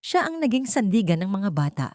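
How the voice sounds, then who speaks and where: A woman's voice: mid-range voice, sing-song, brisk, a little mellow, dry recording, clean studio sound, voice pushed up, close to an everyday voice. A woman voicing a troubled, proud wealthy father in an audiobook drama.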